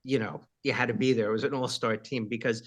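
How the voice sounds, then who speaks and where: A rock singer with a high voice, chatting casually in an interview and rambling with many fillers and asides. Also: male voice, fairly even intonation, quick pace.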